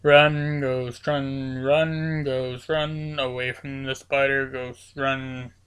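A woman's voice: rumbling low, flat delivery, slow pace, smooth. A woman with a cold, her voice cracking, giving playful, rambling commentary over a video game with lots of fillers. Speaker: gaming channel host.

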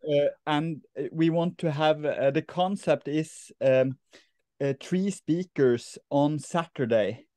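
A slow-talking Norwegian man in his thirties stumbles through his thoughts with lots of "uh" and restarts. He speaks into a laptop webcam from a bright room, and the sound is dry and close. He laughs easily between sentences. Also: a little high, dry recording. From Norway. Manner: halting, many fillers and restarts, laughs easily. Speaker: host of non-duality talks. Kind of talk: casual video call chat. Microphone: laptop webcam on a video call.

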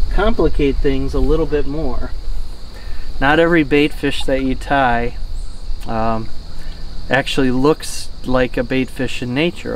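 A man's voice: medium pitch, rough recording. Middle-aged guy explaining fishing flies by a river, easygoing, um's and uh's, bit of bug noise. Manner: calm, plain explanatory delivery with fillers. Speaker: fly fishing guide. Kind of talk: outdoor instructional talk to camera. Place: outdoors by a river. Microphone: camera at close range.

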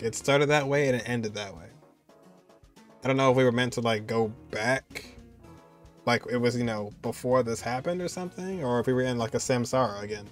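A man, giving informal, conversational reaction commentary. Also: medium pitch. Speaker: reaction video host. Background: music.

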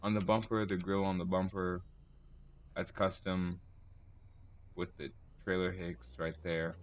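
A man giving casual video commentary as host of a car tour, speaking in halting short phrases and correcting himself. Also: low voice, little pitch movement, lo-fi sound, a little hiss or hum.

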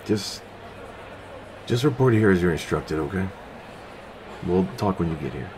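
An actor playing a gruff, weary, protective middle-aged officer and father in a science fiction audio drama. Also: deep voice, animated, a little slow, noisy background. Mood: angry, despairing.

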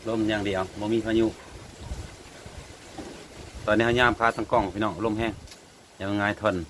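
Low-voiced Isan man vlogging. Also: moderate pace, a little hiss or hum. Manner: relaxed, addresses viewers as brothers and sisters. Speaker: vlogger, father. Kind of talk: casual vlog talking to viewers.

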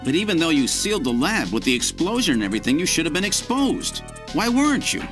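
An actor playing an earnest secret agent hero in a dramatic video game scene. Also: male voice, on the high side, very animated, quick pace, music in the background. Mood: shocked, questioning.

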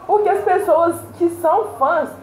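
Young woman, high voice, lively laughing chatter, roomy indoor echo. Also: measured pace, a little hiss or hum. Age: young adult. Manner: animated, laughs often while talking. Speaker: co-host. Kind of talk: casual chat. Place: indoor room against a plain wall.

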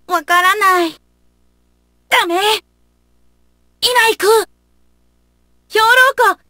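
High-pitched wild feral child warrior. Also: female voice, pushed and bright, no room sound, clean studio sound, exaggerated voice. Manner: blunt broken phrases with beast-like growls. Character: wild, simple-minded feral child warrior who growls. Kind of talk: Japanese video game battle voice lines.

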